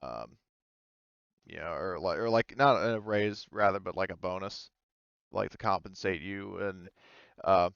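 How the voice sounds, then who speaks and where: Male game master, deepish and smooth, hesitant improvised narration, close dry sound, slightly muffled call audio. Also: fairly bright tone, no room sound. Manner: halting, hesitant, pieces sentences together gradually. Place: online voice call.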